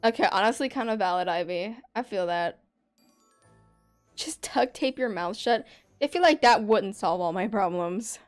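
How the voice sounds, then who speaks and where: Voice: medium pitch, lively intonation, moderate pace, faint music behind. A young adult streamer chatting casually over gameplay in their bedroom, rambling and laughing often. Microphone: desk microphone.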